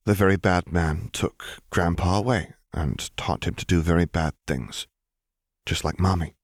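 Man as stern mother, caustic, measured, quietly simmering, storybook character, dry close sound. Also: sits low, no room sound. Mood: solemn, measured.

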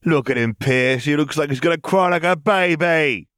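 A mean, jeering schoolboy bully played by a man, pushed well up in pitch from the reader's own voice. He taunts and shouts like a kid ruling the playground, in a dry storybook recording. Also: moderate pace, clean tone, dry recording, lightly acted. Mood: leering, taunting. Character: mean, bullying nine-year-old schoolboy, loud and jeering.

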